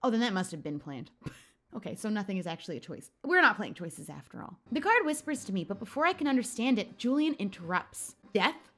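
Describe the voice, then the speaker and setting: A woman's voice: mid-range voice, very animated, quick pace, soft background music. A woman hosting a gaming video, playfully reacting and reading story narration aloud with drama. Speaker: host of a gaming channel. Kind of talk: let's play commentary with dramatic read-aloud narration.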